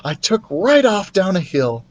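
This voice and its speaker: A man's voice: high-pitched, big pitch swings, unhurried, pitched above the performer's own voice. A man voicing a friendly, candid young boy in a storybook, speaking in an earnest, confiding way. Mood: reminiscing.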